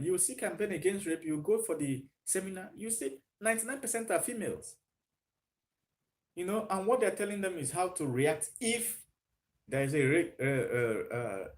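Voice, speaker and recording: A man hosting a live stream, thinking aloud with frequent fillers and answering viewer comments. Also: sits high. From Nigeria.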